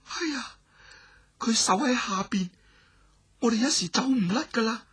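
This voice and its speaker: A man voicing a shy young noblewoman who gives soft, bossy orders. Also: very high-pitched, expressive, a little slow, breathy edge, voice pushed up, full character voice. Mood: urgent, shy.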